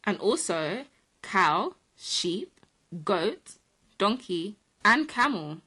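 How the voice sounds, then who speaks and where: A woman co-hosting a children's program, calling out animal names in a bright, squeaky, eager voice. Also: sing-song, extremely slow, breathy edge. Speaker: children's show co-host. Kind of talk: playful kids' program banter.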